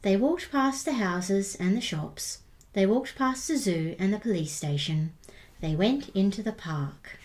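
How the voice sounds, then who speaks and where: A woman reading a children's picture book aloud as storyteller, expressively and with deliberate emphasis on repeated words. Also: medium pitch, lively intonation, a little mellow.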